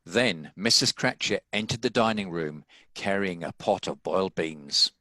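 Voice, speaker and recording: Man, narrator, scripted storytelling, crisp bright tone, low-leaning pitch, close dry recording. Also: lively intonation, a little slow, dry recording.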